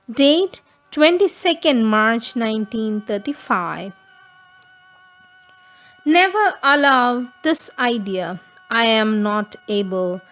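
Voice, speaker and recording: A woman reading devotional spiritual writings aloud as scripted text, in measured, deliberate phrases with frequent pauses. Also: mid-range voice. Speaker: reader of spiritual texts.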